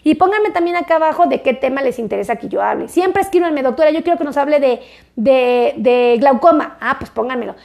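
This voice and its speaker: A woman's voice: high voice, lively intonation, fairly quick, creaky, darkish tone. A woman doctor talking casually to her viewers, chatty and repetitive, coaxing them along. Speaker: doctor and health video creator. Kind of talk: casual direct-to-camera health talk.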